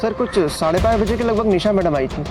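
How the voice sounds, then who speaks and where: Man playing high-voiced, earnest witness. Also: fast, close to an everyday voice, poor audio. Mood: cooperative. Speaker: actor in a television crime series. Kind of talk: Hindi TV crime drama acting. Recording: loud dramatic background music.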